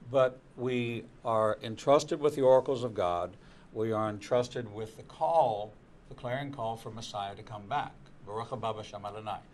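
A man's voice: fairly low pitch, normal speed, a little mellow, slight background noise. An older man, a rabbi hosting a TV studio panel, speaking in an earnest preaching tone and repeating words as he goes. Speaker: rabbi and show host. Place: TV studio set.

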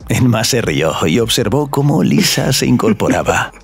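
A man's voice: lowish voice, very animated, normal speed, gravelly, breathy edge, faint music behind. A man narrating a scripted audio story.

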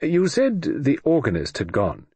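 A husky-voiced man playing a polite, nervous middle-aged bridegroom speaking in earnest, fretful tones. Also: on the high side, huge pitch swings, a little slow, dark tone, dry recording, voice pushed up, full character voice. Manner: polite, earnest, a little anxious.

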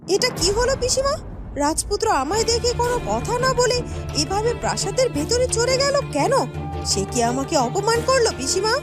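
Very high-voiced haughty young princess. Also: female voice, big pitch swings, normal speed, loud music behind the voice. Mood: offended. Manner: haughty, self-absorbed, aggrieved. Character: vain, spiteful young fairy-tale princess. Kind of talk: cartoon dialogue and inner monologue.

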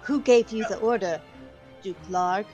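A woman's voice: mid-range voice, a little slow, warm tone, dull audio, faint music behind, close to an everyday voice. A woman voicing a stern, earnest male knight with formal, courtly speech in an amateur video game dub.

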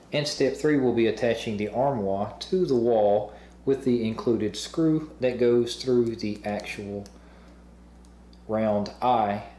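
A young man with a warm, relaxed voice talks through an assembly job at home. His delivery is plain and matter-of-fact, like a host walking viewers through each step. A faint hiss sits under the voice. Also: mid-range voice. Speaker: host of a review channel. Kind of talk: instructional product assembly voice-over.

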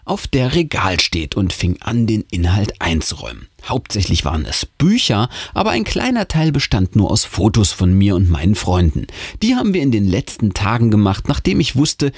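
Husky man telling a spooky story, lively pitch. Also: dry recording, studio quality. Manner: theatrical, suspenseful. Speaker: host and narrator reading a horror story. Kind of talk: dramatic audiobook storytelling.

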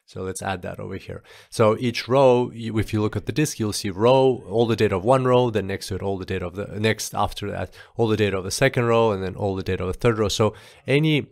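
A man's voice: on the low side, fast, vocal fry, no echo. A man narrating an explanatory screencast tutorial as an instructor, informal and going step by step.

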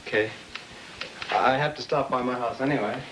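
A man's voice: mid-range voice, moderate pace, lightly acted. An actor playing a jealous, earnest and aggrieved young American man in a 1950s film drama. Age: young adult. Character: jealous, sulky young boyfriend and son. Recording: noisy old film soundtrack with clicks.

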